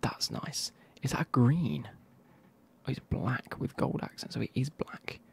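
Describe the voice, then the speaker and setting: Whispering man with a gravelly edge. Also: mid-range voice, animated, measured pace. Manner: soft whispering, wry asides. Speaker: football kit reviewer. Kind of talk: whispered casual review.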